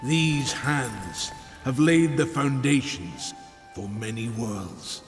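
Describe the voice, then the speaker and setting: Slow, echoing ancient old maker. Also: male voice, medium pitch, lively intonation. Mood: grave, wise. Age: older. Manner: solemn, measured, foreboding. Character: ancient, weary maker, grave and wise old giant. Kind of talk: dramatic video game acting. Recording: long cavernous reverb with music behind.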